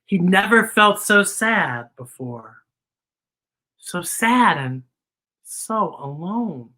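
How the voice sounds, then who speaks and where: A woman hosting children's story time, reading a picture book expressively and deliberately explaining it to young listeners. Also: lowish voice, lively intonation, sharp tone.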